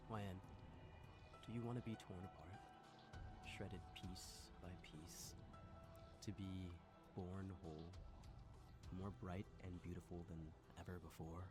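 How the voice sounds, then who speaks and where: A man's voice: low voice, voice pushed down. A man voicing a tormented, intense hero in a visual novel, with a grave, theatrical delivery. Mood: dark, coaxing. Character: tormented male hero turned monstrous, brooding and intense. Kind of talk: dramatic visual novel voice acting.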